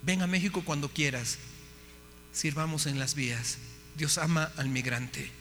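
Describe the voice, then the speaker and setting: A man's voice: fairly high pitch, measured pace, a bit bright, in a large hall, faint music behind. A man preaching a testimony sermon, impassioned and often asking his listeners questions. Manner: impassioned, asks the audience questions. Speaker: missionary preacher.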